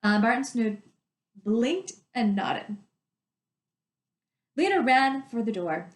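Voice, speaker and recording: A woman's voice: fairly high pitch, big pitch swings, slow, roomy sound. A woman reading a storybook aloud as a teacher, in a narrating style with casual wording.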